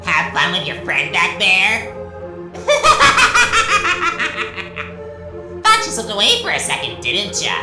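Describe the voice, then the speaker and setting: A woman's voice: medium pitch, huge pitch swings, extremely slow, long pauses, roomy sound, over music, exaggerated voice. A woman voicing a sinister villain creature with mocking false friendliness and folksy menace in a game fan dub.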